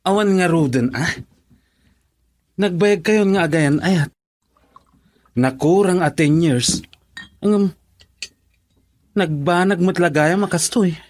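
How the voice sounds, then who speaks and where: A male buddy in a radio drama. He talks very slowly in a slightly high voice. His advice comes out frank and blunt. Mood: frank, nudging. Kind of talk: Ilocano radio drama acting.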